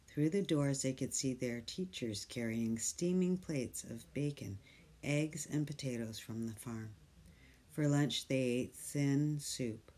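A calm reader takes a picture book at an unhurried pace. The tone is dark and mellow, gentle and soft-spoken, and now and then sinks into a hushed whisper. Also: medium pitch. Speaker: storybook reader. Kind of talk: slow picture-book read-aloud.

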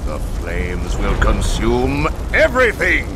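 An actor playing a sinister old judge, a righteous zealot who speaks in a grandiose, theatrical way in a video game. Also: male voice, fairly low pitch, wildly swooping pitch, slow, noisy background, exaggerated voice. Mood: gloating menace. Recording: loud music under the voice.

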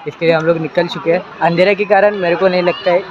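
Chatty young man with a high voice. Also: steady tone, fast talker, highs cut off, a crowd in the background. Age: young adult. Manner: chatty, mixes English words into Hindi, laughs easily. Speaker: vlogger. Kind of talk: casual vlog narration. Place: city garden outdoors at dusk, then a busy restaurant. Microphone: phone held at arm's length.

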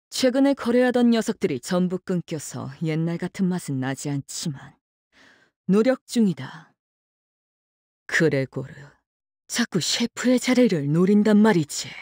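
Casting: a menacing game chef swordswoman, low and unhurried, clipped deadpan delivery, cold temper. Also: no room sound, studio quality, exaggerated voice. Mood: curt, irritated. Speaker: voice actor for a game character. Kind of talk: Korean video game character voice lines.

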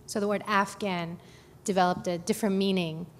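A woman from Brooklyn recounting her life in an oral history interview, hesitant with many pauses and fillers. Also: medium pitch, steady tone. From Brooklyn, New York. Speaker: oral history narrator on a panel. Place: conference hall. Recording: echoey hall, faint background noise.

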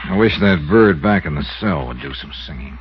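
A man's voice: rumbling low, lively intonation, normal speed. A man playing a cool, probing American insurance investigator in a 1950s radio drama, with a dry, clipped manner. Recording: dull, noisy old radio recording.

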